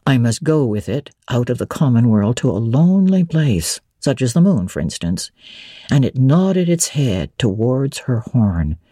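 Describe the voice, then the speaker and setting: A woman voicing a brooding, teasing middle-aged gentleman, commanding and whimsical, in a dramatic audiobook reading of a classic novel. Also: extremely low pitch, very animated, measured pace, slightly rough, no room sound, studio quality, pitched below the performer's own voice, exaggerated voice. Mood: wistful storytelling. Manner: whimsical, authoritative, theatrical.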